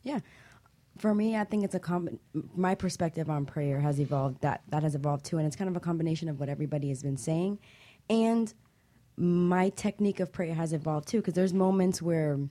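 A woman's voice: deep voice, a little fast, dark tone. A woman hosting a casual panel discussion, talking in a conversational, reflective way. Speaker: talk show host.

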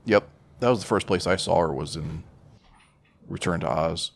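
A man's voice: deep voice, normal speed, gravelly, a little hiss or hum. A man co-hosting a podcast, bantering casually about movies in slangy, foul-mouthed talk. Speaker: podcast co-host.

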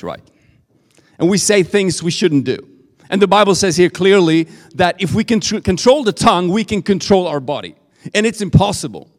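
Give me a Swedish man giving a sermon in English, chatty and stop-start, with a slightly raised voice. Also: high-pitched. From Sweden. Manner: conversational, halting with restarts. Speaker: preacher. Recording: reverberant church hall.

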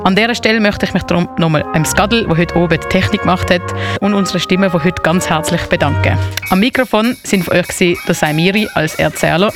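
A woman's voice: lowish voice, fast talker. A woman with a Swiss German accent presenting a live radio show as its host. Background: music bed.

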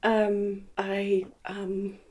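A woman's voice: mid-range voice, monotone, drawn-out words, darkish tone. A woman in her late forties with a Northern Irish accent, reminiscing casually as an interview guest in a thoughtful, slightly hesitant way. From Belfast, Northern Ireland. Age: late 40s. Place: indoors in a house.

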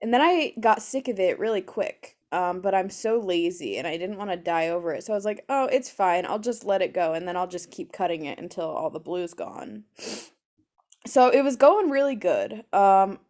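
A young woman co-hosting a casual podcast, talking loudly with frequent swearing and laughter into an improvised mic. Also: medium pitch, expressive, normal speed. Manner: loud, swearing, laughing often. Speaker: podcast co-host. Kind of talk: casual chat. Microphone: improvised mic held between her legs.

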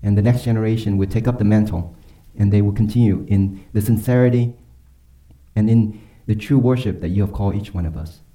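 Dark-voiced man preaching earnestly. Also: lowish voice, steady tone, a little fast, low-quality recording. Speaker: pastor. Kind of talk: sermon and closing prayer.

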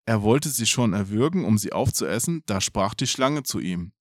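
Male storyteller, animated intonation, mid-pitched, expressive read-aloud, casual asides, dry close recording. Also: moderate pace, no echo. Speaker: podcast storyteller.

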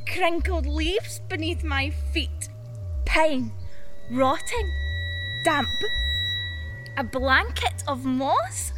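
Unhinged, giddy teenage girl for radio horror; very high, drawn-out sing-song delivery over music. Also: a bit bright, heavily acted. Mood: eerie, brooding. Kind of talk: horror radio play acting. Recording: music behind the voice.

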